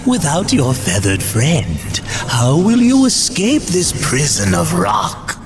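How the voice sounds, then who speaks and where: Sly demon merchant, slow and swooping. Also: male voice, a little high, wildly swooping pitch, vocal fry, music in the background, full character voice. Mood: sly, wheedling. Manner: wheedling, theatrical, mock-polite. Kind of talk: video game cutscene acting.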